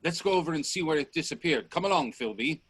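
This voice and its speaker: A man playing a bold, inquisitive gentleman explorer in a radio play, speaking in deliberate, theatrical statements. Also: a little high, expressive, husky, no room sound. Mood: urgent. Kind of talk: radio play dialogue acting. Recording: faint noise on video-call audio.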